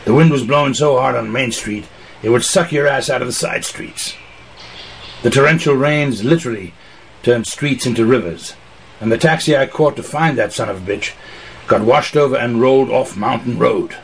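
A man's voice: mid-range voice, normal speed, vocal fry, a little hiss or hum, lightly acted, low-quality recording. A man playing a hard-boiled, gritty noir storyteller in a radio theater monologue, intensely recounting violence. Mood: grim, intense.

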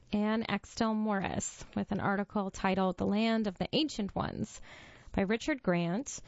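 A woman reading a magazine article aloud for a reading service, in a measured, even narration. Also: mid-range voice, dry recording. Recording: dull, lo-fi dry studio voice.

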